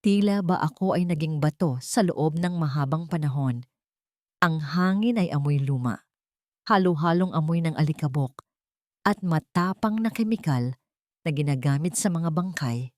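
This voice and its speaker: A woman's voice: low-pitched, animated, moderate pace, a little mellow, dry recording, clean studio sound. A woman reading a scripted, dramatic first-person story as an audio narrator.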